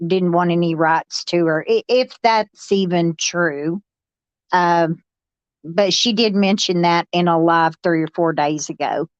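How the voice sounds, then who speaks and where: On dull, lo-fi audio, a woman comments casually as a panel host. Her delivery is slow and halting. The space around her is dry. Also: on the low side, dry recording.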